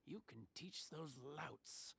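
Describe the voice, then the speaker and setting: Gravelly game carpenter, gruff, busy, husky, lively. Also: male voice, on the high side, slow pace, no echo, full character voice. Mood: matter-of-fact. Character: gruff older male carpenter, busy and curt. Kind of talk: video game voice acting.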